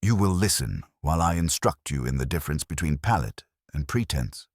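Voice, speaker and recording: Male narrator as stern, erudite audiobook detective, very low voice, formal pronouncements, dry sound. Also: lively intonation, a little fast, no room sound. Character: imperious, erudite male detective, coolly disdainful.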